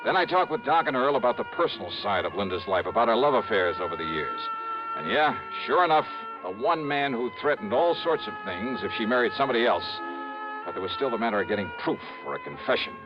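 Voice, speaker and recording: An American actor playing a brisk, probing insurance investigator in a 1950s radio drama, clipped and thinking aloud. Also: male voice, mid-range voice, sing-song, lightly acted. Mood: measured narrating. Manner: clipped, urgent, thinking aloud in broken phrases. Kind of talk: 1950s American radio detective acting with first-person narration. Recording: dull vintage radio recording, music underneath.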